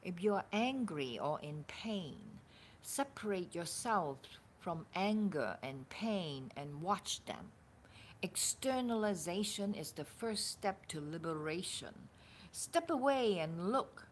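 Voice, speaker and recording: A woman with a slightly low voice reads spiritual text aloud in an informal, deliberate way. Her pitch moves around freely while her pace stays unhurried, with halting little pauses. Background noise sits under the recording. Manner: hesitant, halting asides, deliberate expressive reading. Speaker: spiritual teacher reading a book aloud.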